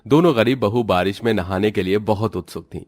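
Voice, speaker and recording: A man's voice: lowish voice, a little fast, a little mellow, no echo, soft background music. A man giving scripted narration of a story as its narrator.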